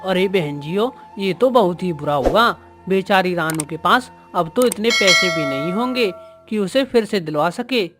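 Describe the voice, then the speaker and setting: A woman's voice: fairly low pitch, animated, unhurried, few pauses, music in the background. A woman voicing friendly bird characters in a moral-story cartoon. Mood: concerned.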